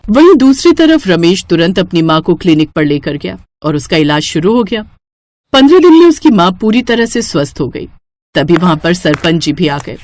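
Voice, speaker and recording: A woman's voice: lowish voice, big pitch swings, normal speed, a little mellow. A woman narrating a scripted moral story for children.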